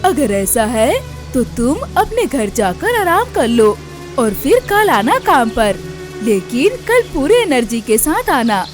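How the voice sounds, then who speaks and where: A woman's voice: sits high, sing-song, slow pace, heavily acted. A woman voicing a stern, demanding male factory boss bird in a Hindi cartoon story, firm and commanding. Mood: questioning, firm. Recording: music behind the voice.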